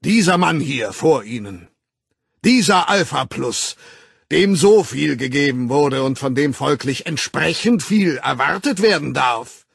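A man reading an audiobook voices a pompous, authoritarian male director who denounces an employee in slow, loud, declamatory speech. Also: on the high side, animated, creaky, breathy edge, dry recording, clean studio sound, pitched above the performer's own voice, exaggerated voice. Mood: declaiming. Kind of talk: audiobook character voice.

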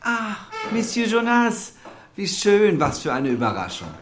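An actor playing an effusive, nosy restaurant owner in a radio play, jovial, solicitous and prying. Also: male voice, high voice, wildly swooping pitch, a little slow, full character voice. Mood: jovial, welcoming. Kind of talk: German radio play acting.

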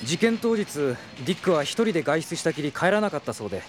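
A man voicing a gentle, patient young detective who speaks softly and reassuringly. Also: fairly high pitch, sing-song, fast talker, background noise. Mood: calm. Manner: soft, kind, reassuring. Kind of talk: anime drama CD acting.

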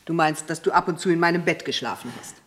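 An actress playing a brisk, dutiful businesswoman in a radio play, matter-of-fact and unsentimental. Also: deep voice, a bit bright. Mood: dry, unsentimental. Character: brisk, dutiful businesswoman running a family brewery. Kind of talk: German radio play acting.

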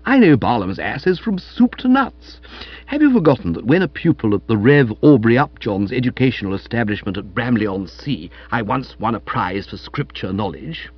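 Man as foppish, chatty young English gent for comic storybook; highly expressive and florid, slightly muffled recording. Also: moderate pace, slightly rough, no echo. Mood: breezy, boastful. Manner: breezy, florid, upper-class slang. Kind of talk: audiobook character voice.

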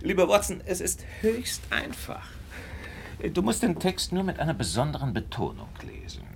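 An actor playing a smug, brilliant detective in a radio drama, with an ironic, drawn-out delivery. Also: male voice, high voice, wildly swooping pitch, vocal fry, a little hiss or hum, exaggerated voice. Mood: smugly amused. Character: brilliant male detective, smug, theatrical and condescending.

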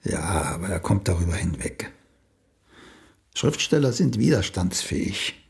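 An actor playing a proud, celebrated old playwright in a radio play, blunt, sardonic and dismissive. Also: male voice, low-pitched, sing-song, measured pace, creaky, full character voice. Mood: wry, musing. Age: older.

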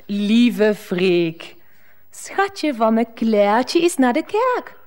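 Sweet german lodger lady, high voice, takes her time, doting, german accent, radio play. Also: big pitch swings, drawn-out words, a little mellow, full character voice. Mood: coaxing, amorous. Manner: coaxing, slips German words into her talk.

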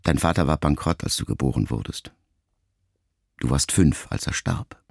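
An actor playing a melancholy, reflective writer son in a radio drama, speaking in measured, intimate, halting phrases as if to his mother. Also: a bass voice, vocal fry, no echo, studio quality. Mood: quietly bitter.

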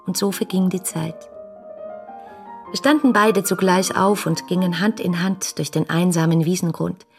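A woman's voice: on the low side, unhurried, faint music behind. An actress playing an ardent young woman in a radio play, reading adoring love letters in an intimate, rapturous manner. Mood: dreamy, intimate. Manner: rapturous, intimate, shifting between hushed and exclamatory.

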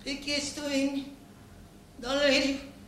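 A woman acting a grieving, vengeful daughter in a theatrical monologue, with a Sicilian accent and halting, incantatory delivery full of repeated words. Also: high-pitched, completely flat delivery, a bit bright. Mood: dazed, mournful. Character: grieving, vengeful daughter of murdered parents, confiding in a boss. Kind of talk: theatrical dramatic monologue in dialect-laced Italian. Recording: echoey, distant, faintly noisy stage recording.

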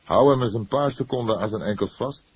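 A man voicing an older grey-haired police detective in an audiobook, calm, wry and disapproving, speaking in an unhurried, lecturing way. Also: on the low side, husky, dry recording, pitched above the performer's own voice. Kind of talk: audiobook character voice.

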